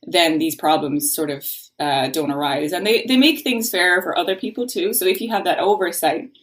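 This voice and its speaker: A woman's voice: fairly low pitch, normal speed. An Irish woman, a podcast guest and law researcher, explains at length in an earnest, casual way and often sounds frustrated. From Ireland. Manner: voices frustration. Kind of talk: casual interview talk.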